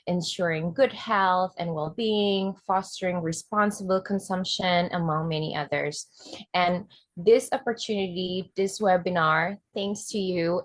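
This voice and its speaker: A woman's voice: lowish voice, no room sound. A woman from Indonesia, a fashion designer, giving an earnest webinar presentation as the guest speaker.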